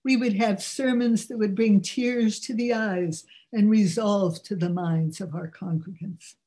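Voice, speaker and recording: An older woman with a low voice, speaking earnestly and at a measured pace as she delivers a religious talk. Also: fairly even intonation, mellow.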